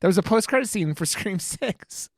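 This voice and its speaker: A podcast co-host chatting casually about films in a profane, halting, rambling way. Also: medium pitch, expressive, no echo, studio quality. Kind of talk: casual film review chat.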